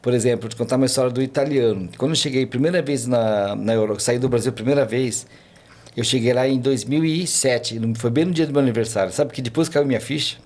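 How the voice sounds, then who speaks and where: A man's voice: medium pitch, fast, a little mellow. A man, a podcast guest telling travel stories in a rambling casual way, full of fillers and restarts. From Brazil. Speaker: podcast guest, tour guide. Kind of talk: casual chat, storytelling.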